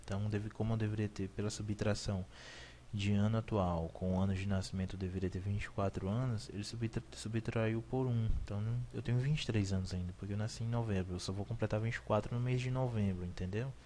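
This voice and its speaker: Young man, 20s, fairly low voice, beginner programming tutorial, self-correcting, faint hiss. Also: fairly even intonation, fast talker, dark tone. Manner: repetitive, self-correcting explanations with frequent "ok?" check-ins. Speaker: programming instructor. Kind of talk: screen-recorded coding tutorial.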